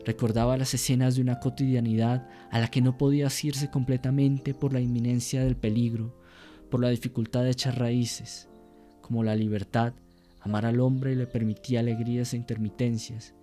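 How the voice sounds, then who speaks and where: A man narrating a literary radio drama in a measured, solemn, descriptive manner. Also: mid-range voice, flat delivery, dark tone, faint music behind.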